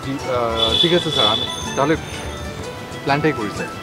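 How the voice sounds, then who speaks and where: A man's voice: medium pitch, brisk, close to an everyday voice. A man playing a touchy, defensive young man in a TV drama. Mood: deferential. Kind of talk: Bangla TV drama acting. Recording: loud music behind the voice.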